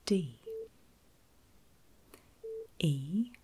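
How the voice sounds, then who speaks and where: A woman whispering in a breathy, soothing and reassuring way, as a caregiver in an ASMR medical roleplay. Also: deep voice, lively intonation, mellow. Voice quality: breathy, mostly unvoiced whisper. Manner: soothing, reassuring, slow and deliberate. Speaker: ASMR performer playing a surgical nurse.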